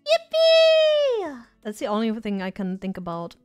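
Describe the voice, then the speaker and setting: A woman's voice: medium pitch, wildly swooping pitch, normal speed, darkish tone, clear, resonant tone, soft background music. A woman streamer chatting casually and rambling as she loses her voice. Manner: rambling, chatty, self-deprecating. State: losing her voice. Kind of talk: casual live-stream chat.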